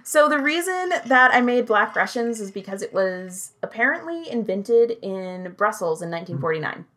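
A woman's voice: medium pitch, big pitch swings, normal speed, a bit bright. A young woman hosting a podcast in casual chat, bubbly and giggly, often saying "like". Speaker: podcast host.

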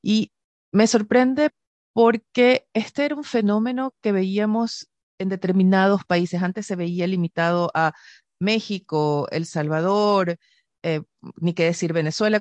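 A woman's voice: fairly low pitch, darkish tone, dry recording, lo-fi sound. A woman from Ecuador hosting an interview, asking questions with reflective, personal asides. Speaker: host.

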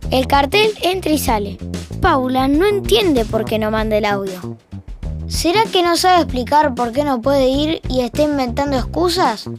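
A high-pitched female storyteller with an Argentine accent narrates an audio drama. She is wry and conversational, as if sharing domestic gossip. Music plays clearly behind her voice. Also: animated, normal speed. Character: wry all-knowing female narrator of a married couple's squabbles. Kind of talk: scripted fiction podcast narration.

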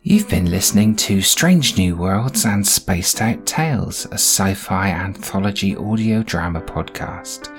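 A man's voice: deep voice, a little slow, few pauses, music in the background. A man reading scripted closing credits as the host of an audio drama podcast.

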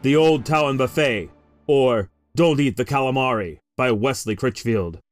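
A man's voice: medium pitch, moderate pace, no room sound, clean studio sound, faint music behind. A man reading scripted promotional narration as a trailer announcer.

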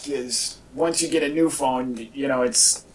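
A man's voice: mid-range voice, measured pace, slight background noise. A man hosting a podcast in casual, rambling chat with a wry, joking manner. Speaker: podcast host. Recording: distant mic, echoey in parts.

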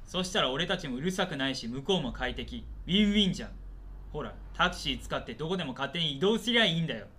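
Man acting a sharp, forceful cornered culprit. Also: on the high side, expressive, quick pace. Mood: irritated, resentful. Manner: rough slangy speech, whining and belligerent. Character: cornered, defensive, resentful male commuter culprit. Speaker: actor in an amateur radio drama. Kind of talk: naturalistic radio drama dialogue.

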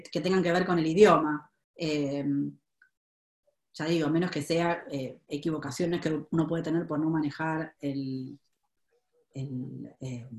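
A woman with a Rioplatense Spanish accent gives career advice in a live webinar, her voice sitting a little low. She thinks aloud, pausing and restarting often. The room around her sounds echoey. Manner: thoughtful, many hesitations and restarts.

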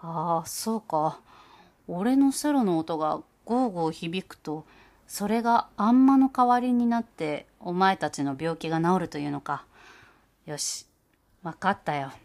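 A woman voicing a blunt, gruff young man who plays the cello, in a storybook reading. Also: fairly low pitch, unhurried, darkish tone. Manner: blunt, rough speech.

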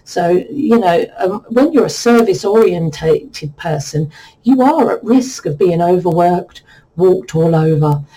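A woman giving a chatty, repetitive numerology reading, her voice croaky and a bit worn from the change of season. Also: medium pitch, dark tone, poor audio. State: voice croaky from a cold spell. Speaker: numerology reader. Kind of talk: explanatory numerology reading monologue.

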